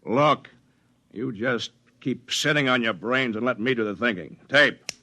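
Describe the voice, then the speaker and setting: A man's voice: medium pitch, a little slow, pushed and bright. An actor playing a smug, bullying fat man with a bossy, sardonic manner in a 1950s radio drama. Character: gruff, smug, bullying fat man secretly posing as computer.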